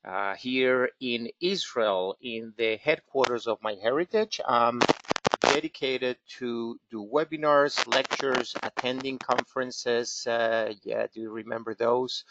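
A man's voice: mid-range voice, unhurried. A man with a Venezuelan Spanish accent, a genealogy expert hosting a live webinar and walking through a website, speaking carefully with many fillers. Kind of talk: live webinar with screen-share walkthrough. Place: office in Israel. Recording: dull, slightly static home mic.

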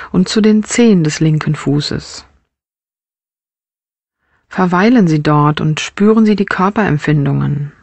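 A woman narrating a guided body scan meditation as a mindfulness teacher, with measured, gentle instructional phrasing. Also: mid-range voice, dry recording.

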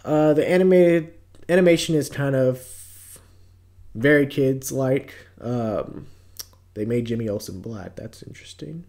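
A man's voice: mid-range voice, unhurried, dark tone. A man in his twenties with an American accent, giving casual, slangy, somewhat hesitant commentary as a video host. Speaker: YouTube host reviewing entertainment news.

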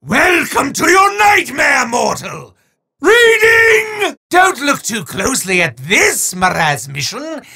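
An actor playing a bombastic, boastful evil wizard in a video game, with a raspy voice and theatrical, drawn-out proclamations that break into shouts. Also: male voice, extremely high pitch, wildly swooping pitch, full character voice. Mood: menacing, grandiose. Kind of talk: video game villain voice acting.

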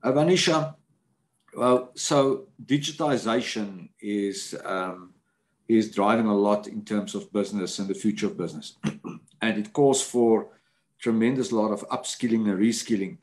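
A man moderating a panel discussion over a video call, halting and repeating words as he frames a question. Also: on the low side. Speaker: moderator of a book launch panel.

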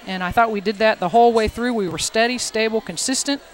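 A woman's voice: fairly high pitch, quick pace. A middle-aged woman volleyball coach speaking into a headset mic, earnest and emphatic. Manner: earnest, repeats words, emphatic. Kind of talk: postgame interview.

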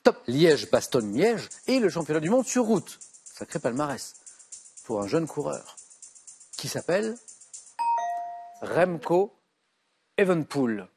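A middle-aged man hosting a TV quiz show, reading clues briskly and giving quick verdicts. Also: fairly high pitch, big pitch swings, a little mellow, a little hiss or hum. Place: large TV studio set.

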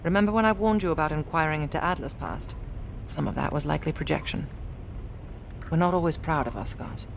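An actress playing a poised, sardonic British intelligence agent in a video game, articulate and measured, with a dry manner. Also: female voice, low voice, gravelly, slight background noise, rough recording. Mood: dry, businesslike. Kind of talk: scripted video game cutscene acting.

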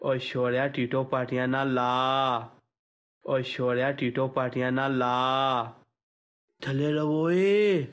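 A man voicing an excitable comic village soldier with a Punjabi accent, calling out short exclamatory squad commands for a game. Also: medium pitch, slow pace. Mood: gruff, low-key. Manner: exclamatory, starts calls with "oye".

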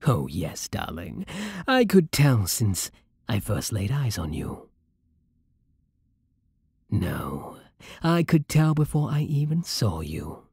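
A man plays a regal, possessive vampire king with a British accent in an ASMR roleplay. The voice is very breathy and close, in a dry recording. He speaks slowly, with haughty, seductive menace. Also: lowish voice, wildly swooping pitch, no room sound, full character voice. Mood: smug. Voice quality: breathy, soft. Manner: slow, menacing, haughty purring.

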